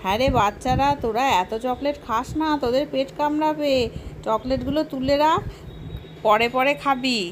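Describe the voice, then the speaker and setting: A woman's voice: high voice, normal speed, a little hiss or hum, close to an everyday voice. A woman voicing a practical village mother doll who instructs and cautions children in a children's story. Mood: cautioning.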